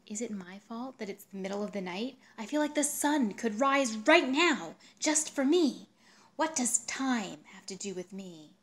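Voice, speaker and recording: A woman's voice: fairly high pitch, expressive, unhurried, voice pushed up. Melodramatic, self-important male suitor, performed by a female reader, insistent and pleading, for literary storytelling. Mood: passionate. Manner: insistent, grandiose, wheedling.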